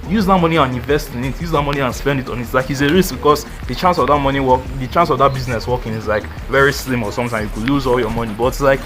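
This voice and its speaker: A man's voice: mid-range voice, fast, hardly any pauses, over music. A twenty-year-old man giving casual life advice in a rambling, slangy way, full of "like" and "you know". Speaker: vlogger.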